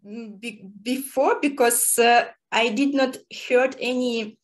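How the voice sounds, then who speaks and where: A woman host, not a native English speaker, talks casually in a language lesson, searching for words with frequent pauses. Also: fairly high pitch, steady tone. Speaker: host learning Japanese phrases.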